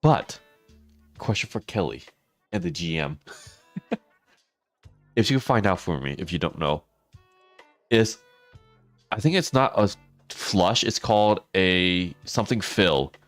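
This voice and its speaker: A man with a very low, raspy voice, hoarse from losing it, talks easily. The sound is close and dry, with soft music playing behind him. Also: animated, a little slow, long pauses, no room sound. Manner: chatty, talks to viewers by name, laughs often. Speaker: streamer. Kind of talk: casual livestream chat.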